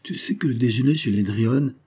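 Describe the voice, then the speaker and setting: A man's voice: mid-range voice, brisk, mellow, slightly rough, voice pushed up, close to an everyday voice. A man voicing a jealous husband in a storybook reading, suspicious and anguished, given to growling, stammering outbursts. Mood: cheerful.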